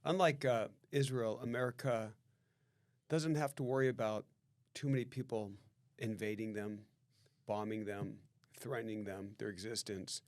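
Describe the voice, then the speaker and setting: A man's voice: on the low side, fairly even intonation, normal speed, a little mellow, dry recording, clean studio sound. A man hosting a podcast in a casual, joking way, introducing his guest and asking questions. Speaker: podcast host.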